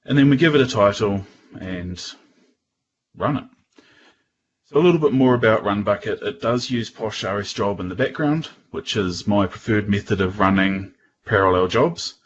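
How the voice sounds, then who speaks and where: A New Zealand man gives a casual technical talk in a fairly low voice. He speaks haltingly, stopping to rephrase as he goes. The sound is somewhat muffled, with a light layer of noise. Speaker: guest presenter at a tech user group. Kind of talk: informal technical presentation.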